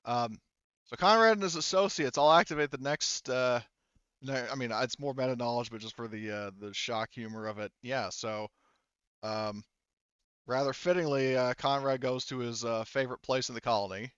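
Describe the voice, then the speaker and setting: A man's voice: mid-range voice, normal speed, a bit bright, clear, resonant tone, no room sound, lo-fi sound. A man running a tabletop game as game master, narrating casually and explaining rules, often hedging and correcting himself.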